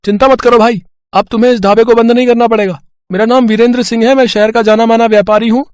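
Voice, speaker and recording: Wealthy traveller husband, cartoon moral tale, played by a man; high-set voice, earnest, pleading, dry sound. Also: fairly even intonation, quick pace, smooth, no echo. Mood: grateful, reassuring. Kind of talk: dramatised moral story acting.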